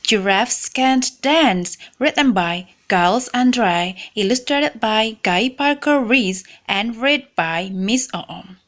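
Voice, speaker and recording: A woman hosting a children's storytime, reading a picture book aloud in an expressive, measured way. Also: fairly high pitch. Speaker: storytime host and reader.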